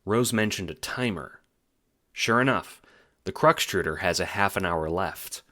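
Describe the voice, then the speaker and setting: A man with a very low voice narrates an audio drama. He reads scripted storytelling prose as the narrator of the tale. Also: lively intonation, a little slow, sharp tone.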